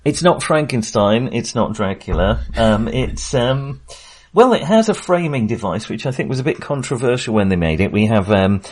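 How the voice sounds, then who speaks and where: A man hosting a podcast in a casual discussion style, halting and often searching for words. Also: medium pitch, sing-song, a little mellow. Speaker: podcast host.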